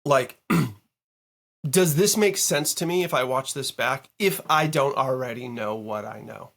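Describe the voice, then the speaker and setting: A man who is a guest producer, chatting casually on a podcast, slightly hoarse, animated and swearing as he tells stories. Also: mid-range voice, measured pace. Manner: animated, swearing, mock-quoting people.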